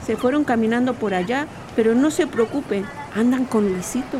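A woman's voice: fairly high pitch, moderate pace, natural voice. An actress playing an obliging, placid woman in a radio drama, deferential and reassuring, with a Chilean accent. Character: obliging, eager-to-serve working woman Laura, placid and respectful. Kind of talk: Spanish-language radio drama acting. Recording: noisy outdoor ambience with bird calls.

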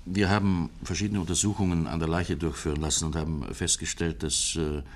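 Low-pitched detective, courteous, earnest, methodical, slightly hesitant, old German radio drama. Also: male voice, flat delivery, a little fast. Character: polite, deliberate male police investigator, measured and earnest. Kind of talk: 1950s German radio play acting.

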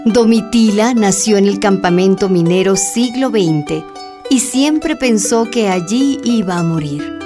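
A woman's voice: mid-range voice, animated, a little slow, over music. A woman reading scripted narration for a radio drama as its storyteller.

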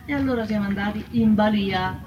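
A woman's voice: medium pitch, fairly quick, slight background noise, natural voice. An actress playing an embittered working-class Roman wife, telling her testimony in colloquial, emphatic dialect with repeated words. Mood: aggrieved, weary. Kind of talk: theatrical first-person testimony. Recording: dull, distant stage sound with music.

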